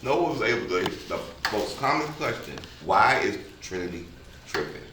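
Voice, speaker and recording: A man talking in a casual group discussion, halting and reflective, repeating phrases for emphasis. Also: fairly low pitch, sing-song. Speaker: filmmaker leading a table read discussion. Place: indoor room at a table read. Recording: echoey room, distant mic, noisy background.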